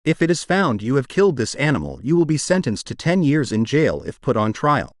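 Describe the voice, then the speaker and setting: Male announcer for emergency alert narration, mid-pitched, very dry close sound. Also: very animated, a little fast, no echo.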